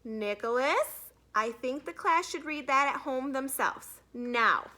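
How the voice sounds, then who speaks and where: A woman's voice: sits high, expressive, slow pace, bright, forceful tone, full character voice. A woman voicing a stern, authoritative female schoolteacher in a storybook, lecturing with emphasis. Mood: annoyed.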